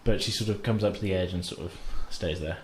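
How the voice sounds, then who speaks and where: A man's voice: sits low, fast talker, a little mellow. A man narrating a tabletop game as game master in a casual, improvised, hesitant conversational way. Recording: roomy echo, faint background noise.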